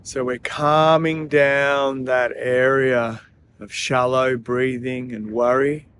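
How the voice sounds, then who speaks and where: A man leading a guided breathing meditation in a soothing, gentle manner, leaving long pauses between phrases. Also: mid-range voice, flat delivery, a little hiss or hum.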